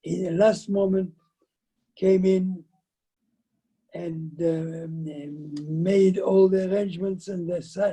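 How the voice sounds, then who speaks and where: A man in his nineties from Lithuania, recounting his life story haltingly, often repeating words, over a video call from home. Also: high-pitched, fairly even intonation, dark tone, breathy edge, low-quality recording. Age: 90s. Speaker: guest, survivor giving testimony. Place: indoors at home, by shelves of framed photos. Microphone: video call, computer microphone.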